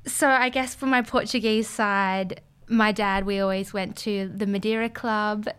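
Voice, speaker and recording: Chatty young woman, smooth voice. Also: medium pitch, steady tone, unhurried, a bit bright. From Australia. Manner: chatty, filler-heavy, laughing easily. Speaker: podcast guest. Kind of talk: casual chat.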